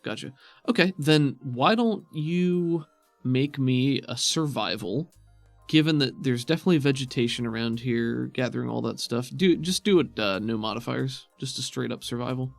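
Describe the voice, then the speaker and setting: A man's voice: medium pitch, normal speed, vocal fry, no room sound, faint music behind. A man running a tabletop game as game master, talking casually and encouragingly to players.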